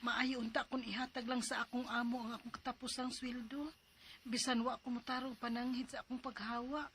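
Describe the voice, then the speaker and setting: A woman with a high voice narrates a dramatized life story in the first person. She speaks plaintively and confidingly, as if sharing her troubles. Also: flat delivery, fairly quick, noisy background. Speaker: storyteller narrating as the letter-sender.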